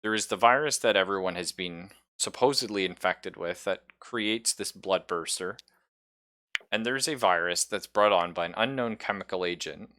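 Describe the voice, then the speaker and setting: A man improvising narration as game master of a horror tabletop roleplaying game, with halting, suspenseful storytelling. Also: low-pitched, pushed and bright.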